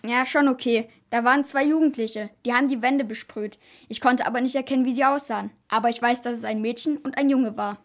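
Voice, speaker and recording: A fairly high voice of a female amateur plays a male caretaker in a homemade audio drama. The caretaker is subdued and a little hesitant, speaking plainly. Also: fairly even intonation, brisk, close to an everyday voice. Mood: subdued, helpful.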